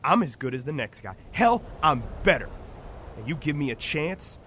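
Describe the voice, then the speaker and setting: A man's voice: a little high, big pitch swings, normal speed, a bit bright, a little hiss or hum. A man playing a proud, hot-tempered young Apache hunter in an audio drama, brash and scornful, talking in folksy Western slang. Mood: defiant. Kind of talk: dramatic comic-book audio drama acting.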